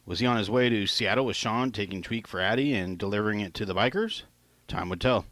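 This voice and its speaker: A man's voice: lowish voice, fast, a bit bright, no echo. A man reading his own first-person novel aloud in a plain, informal amateur narration style.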